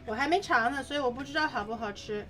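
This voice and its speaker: A woman's voice: high voice, rapid-fire, sharp tone. A woman whose first language is Mandarin, chatting and giggling in a playful way while cooking on a casual livestream. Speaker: streamer. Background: background music.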